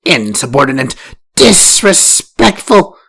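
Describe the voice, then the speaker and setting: A man voicing a tall, domineering older woman, a first wife who sneers and scolds in a slow, scornful voice. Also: deep voice, animated, voice pushed up. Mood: outraged.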